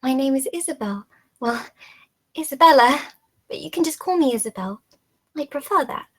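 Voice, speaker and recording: Woman acting a high-voiced, gentle teenage girl. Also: expressive, normal speed. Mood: shy, curious. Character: lonely, gentle, curious sixteen-year-old girl. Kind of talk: dramatic script table read.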